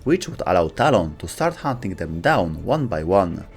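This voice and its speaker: A man's voice: low-pitched, sing-song, moderate pace. A man delivering scripted storytelling narration for a video game lore video. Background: faint background music.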